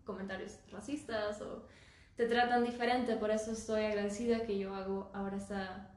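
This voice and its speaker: A woman's voice: medium pitch, level tone, darkish tone. Thoughtful woman, roomy sound. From Germany. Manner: direct, thoughtful, measured. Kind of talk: casual conversation.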